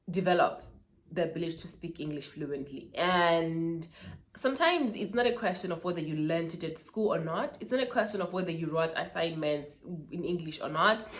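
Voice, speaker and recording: A woman, a Shona speaker, giving motivational advice in an emphatic style and repeating key words for stress. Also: fairly low pitch. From Zimbabwe. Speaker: author and speaker. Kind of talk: motivational talking-head vlog.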